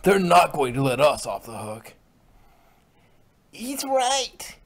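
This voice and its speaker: A man voicing a nervous pony henchman in a storybook reading, hushed and hurried. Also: a little high, huge pitch swings, heavily acted. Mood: nervous, furtive. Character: nervous pony henchmen plotting their escape.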